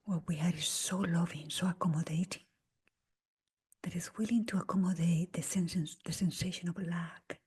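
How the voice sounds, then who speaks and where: A woman leading a guided meditation in a breathy, husky near-whisper, speaking gently in short phrases with long pauses. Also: fairly low pitch, fairly even intonation. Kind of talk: slow guided meditation talk.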